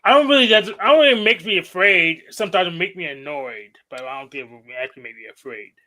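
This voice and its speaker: A man with a high, bright, sharp voice gives short, halting replies in a casual group call. He speaks into a computer mic. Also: lively intonation. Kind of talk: casual chat.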